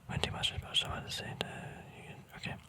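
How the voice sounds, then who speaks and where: Whispering man, casual ASMR. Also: very fast. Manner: hesitant, musing, trailing off mid-thought. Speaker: ASMR video creator showing a trading card deck. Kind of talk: whispered ASMR, casual rambling show-and-tell.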